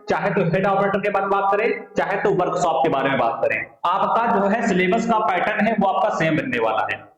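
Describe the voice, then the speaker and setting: A man teaching online exam aspirants, asking questions and answering them himself, often repeating his phrases. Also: sits high. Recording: very echoey room, slightly muffled.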